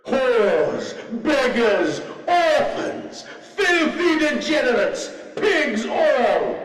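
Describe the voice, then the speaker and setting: A fanatical machine villain from a video game, heard from a distance in an echoing space. The voice is slow and rather high, swinging widely as it preaches with grandiose scorn. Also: male voice, sing-song, fairly bright tone, full character voice. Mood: fanatical rapture. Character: sentient industrial machine, fanatical, mocking male zealot.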